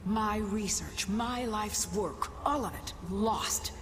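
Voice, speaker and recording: A woman's voice: mid-range voice, takes it slowly, natural voice. An actor, a woman, playing the weary, bitter ghost of an adventurer in a video game, resigned and wry. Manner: resigned, wry, dramatic delivery. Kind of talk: video game character acting. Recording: long ghostly reverb over loud background noise.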